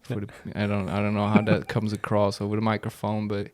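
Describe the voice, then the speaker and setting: A young man from the Netherlands, a comedian hosting a podcast, chatting casually in a slow, rambling way and swearing freely. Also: lowish voice, monotone, darkish tone.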